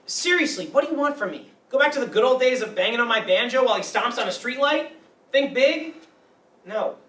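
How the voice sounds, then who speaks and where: A man's voice: very high voice, very animated, brisk, fairly bright tone, full character voice. An actor playing a bitter, neurotic man with an old grudge in a staged play reading, wry and hesitant. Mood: angry, bitter. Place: meeting room used for a staged reading. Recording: roomy, distant mic, faint noise.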